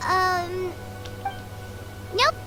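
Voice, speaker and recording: A woman's voice: extremely high pitch, extremely slow, music in the background, heavily acted. A woman voicing a small, childlike fairy companion in a video game, earnest and easily baffled, with exclamatory delivery. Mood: hesitant. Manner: speaks of self in third person, exclamatory. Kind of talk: English video game character acting.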